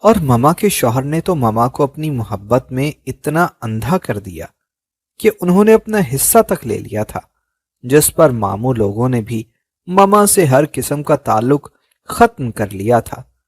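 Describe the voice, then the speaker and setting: A man reads out a heartfelt first-person tale at an unhurried, deliberate pace. His voice sits at an ordinary middle pitch. The sound is close and dry, with no room around it. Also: sing-song, no room sound. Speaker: storyteller. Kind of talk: slow first-person story narration.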